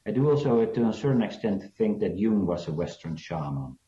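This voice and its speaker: A man's voice: on the low side, very animated, brisk, mellow. A man from South Africa, a guest author, answering interview questions in a hesitant, reflective way with many fillers. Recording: dull, roomy sound.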